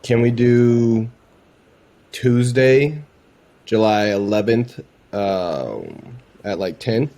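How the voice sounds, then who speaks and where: A man's voice: medium pitch, lively intonation, very slow, pauses often, dark tone. A man chatting informally as he presents and explains a demo, conversational and unscripted. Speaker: presenter demonstrating a voice AI. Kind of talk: casual chat, explaining a demo.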